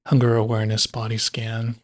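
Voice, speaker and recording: A man guiding a body scan meditation in a measured way, leaving long pauses between phrases. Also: fairly low pitch, monotone. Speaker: meditation guide.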